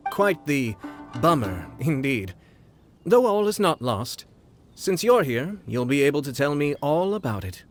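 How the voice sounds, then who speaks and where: An actor playing a refined, gently wistful gentleman in a video game, speaking politely in measured, formal phrases. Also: fairly high pitch, sing-song, faint music behind. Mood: wry, pleased.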